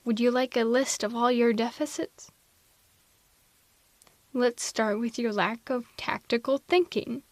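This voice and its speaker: Casting: female performer as mocking telepath supervillainess for roleplay audio, higher-pitched, theatrical and measured, secretly tender, very dry close sound. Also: no room sound. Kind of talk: scripted ASMR roleplay audio drama.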